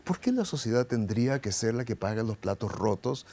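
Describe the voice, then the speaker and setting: A man's voice: medium pitch, big pitch swings, a little fast, warm tone. A middle-aged man from Paraguay, a guest in an interview, arguing his political views with passion and many rhetorical questions.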